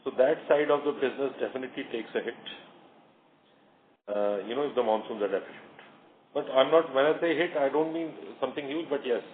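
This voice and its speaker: A man's voice: mid-range voice, a little fast. Man, Indian accent, company executive, hesitant explanatory answers, thin phone sound, faint noise. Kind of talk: earnings call answers, unscripted. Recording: roomy, noisy, narrow phone line.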